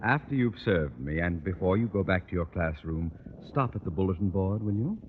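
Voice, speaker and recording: An actor playing a pompous, irascible older school boss with sarcastic, measured delivery in an old-time radio sitcom. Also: male voice, sits low, expressive. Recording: very noisy muffled old radio recording.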